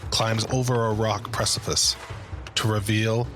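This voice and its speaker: A man's voice: lowish voice, level tone, measured pace, a bit bright. A man giving scripted dramatic narration as the narrator of an audio drama. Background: music.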